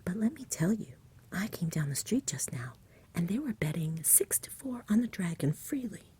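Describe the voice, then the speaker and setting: An earnest village boy, as whispered by a woman reading a storybook, pleading and a little impatient. The voice is very breathy and dark, made for ASMR. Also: on the low side, very animated, moderate pace, voice pushed up, exaggerated voice. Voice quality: soft, whispery. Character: earnest, impatient young village boy defending his friend.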